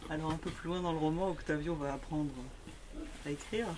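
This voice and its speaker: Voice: mid-range voice, steady tone, brisk, a little mellow, slight background noise. An interviewer at a bookshop event, reading passages aloud and asking questions with hesitant fillers.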